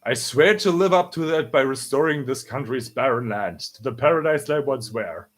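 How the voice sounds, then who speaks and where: Man voicing a solemn, resolute young shogun, animated delivery. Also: mid-range voice, normal speed, natural voice. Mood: resolute, proud. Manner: declamatory, formal.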